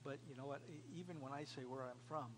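An American man in his fifties from New York, a pastor giving an earnest, emphatic sermon with repeated phrases and pauses. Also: mid-range voice. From New York, United States. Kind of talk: sermon to a small congregation. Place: indoors, cafeteria used as a church hall. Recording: clearly noisy room recording with hum.

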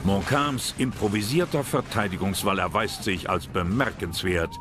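A man's voice: fairly low pitch, normal speed. A man reading scripted historical narration for a documentary. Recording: music behind the voice.

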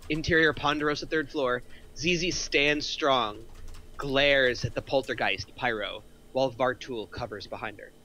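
A man's voice: medium pitch, normal speed, pushed and bright, slight background noise. A middle-aged man reading out screenplay action lines as a narrator. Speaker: narrator of a script table read.

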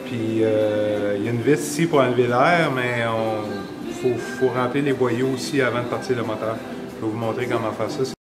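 A man's voice: medium pitch, fairly even intonation, moderate pace, loud music behind the voice. A man in his forties with a Québécois French accent, explaining a repair step by step in a casual, rambling way with fillers, recorded in a workshop. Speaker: snowmobile mechanic. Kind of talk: hands-on repair tutorial. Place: indoor workshop garage.

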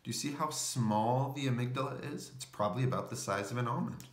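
A man's voice: medium pitch, a little fast, echoey room. A man reading a children's picture book aloud, explaining things as he goes. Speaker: storybook reader.

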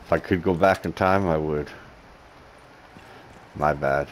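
A man with a very low voice gives laid-back gameplay commentary, chatting to his viewers and poking fun at himself. A faint noise runs under the recording. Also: steady tone, a little slow. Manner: laid-back, self-mocking, talks to viewers. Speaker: gaming commentator.

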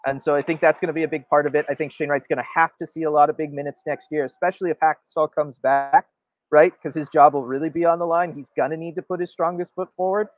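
A man's voice: on the high side, fairly even intonation, fast, no echo, highs cut off. A man co-hosting a sports show, giving emphatic opinionated commentary and often checking for agreement. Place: indoor room, video call.

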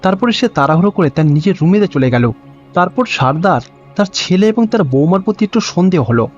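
A man with a high-pitched voice narrates a cartoon story in scripted storybook style. The sound is slightly dull and lo-fi, with music playing behind him. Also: expressive, brisk. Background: background music.

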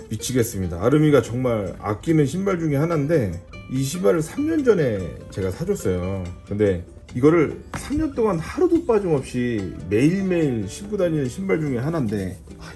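A man's voice: medium pitch, warm tone. A man talking casually as a vlogger husband, narrating and chatting. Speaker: vlogger husband filming his wife. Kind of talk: casual vlog talk and narration. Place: voice-over and on location in an outlet shoe store. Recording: background music and crowd noise. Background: music, shoppers' chatter.

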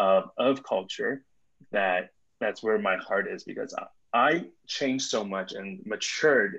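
A man's voice: lowish voice, vocal fry, a bit bright. A man in his thirties from Taiwan, introducing himself on a webinar in a friendly, joking way. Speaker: business development officer and co-presenter. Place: indoors on a video call with a virtual coastal background. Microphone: computer mic on a video call. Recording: dull lo-fi video call audio.

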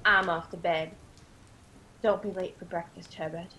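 A woman's voice: fairly low pitch, pushed and bright, exaggerated voice, poor audio. An actress playing a practical, fussing middle-aged mother in a radio drama. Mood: motherly, practical. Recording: faint background noise and ambience.

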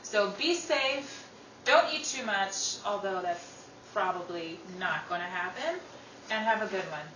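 A woman's voice: medium pitch, expressive, a little slow. A woman from a show's cast giving a friendly, informal holiday sign-off to listeners. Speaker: cast member. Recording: roomy, distant, clearly noisy recording.

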